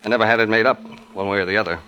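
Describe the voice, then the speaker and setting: A man's voice: fairly low pitch, steady tone, racing, bright, forceful tone, rough recording. An actor playing a weary, suspicious police detective in his thirties, clipped and insinuating, in 1950s hardboiled radio style. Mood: brooding. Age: 30s. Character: weary, suspicious 37-year-old New York police detective. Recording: old radio drama recording.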